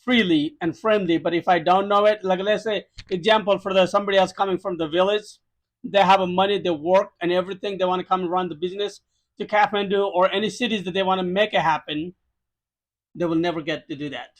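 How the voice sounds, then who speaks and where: A man with a high voice and a Nepali accent talks as a podcast guest. He gives a personal account conversationally, in non-native phrasing, repeating words for emphasis. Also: fairly even intonation, fast, rough recording. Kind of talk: conversational first-hand account.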